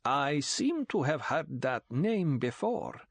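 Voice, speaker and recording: A silky, menacing count, put on by a man reading an audiobook speaks slowly and deliberately, pitched higher than plain talk. Every word is drawn out with quiet threat. The recording is dry and close. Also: big pitch swings, breathy edge, dry recording, heavily acted. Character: silky sinister middle-aged male count, villain.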